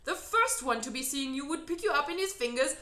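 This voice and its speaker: A friendly old storybook giant, played by a woman reader, talks in excitable, emphatic bursts with quaintly mangled grammar. The voice is bright and leaps around in pitch. It sounds in an echoey room. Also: a little fast. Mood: gleeful explaining. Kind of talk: children's storybook reading.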